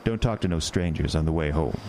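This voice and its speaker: A man's voice: extremely low pitch, brisk, heavy background noise. A man playing a menacing carnival showman who drops bits of French in a horror radio drama. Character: sinister male carnival showman.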